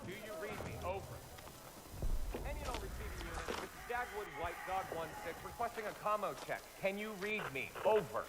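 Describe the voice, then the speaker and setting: A man's voice: high-pitched, animated, a little fast, very noisy. An actor playing an urgent young army radioman making calls and reports in a war game cutscene. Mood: tense, searching. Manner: urgent, military.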